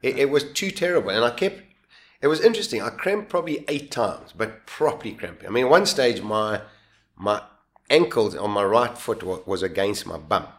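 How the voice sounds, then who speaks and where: A man with a fairly low voice tells his story in a relaxed interview. He talks animatedly, stumbling and restarting mid-sentence and laughing at himself now and then. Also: normal speed. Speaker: guest telling a survival story.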